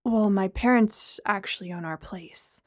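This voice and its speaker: Woman as a timid young man, quiet, muttering, hesitant, uncomfortable, audiobook character. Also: mid-range voice, moderate pace, no echo, clean studio sound, close to an everyday voice.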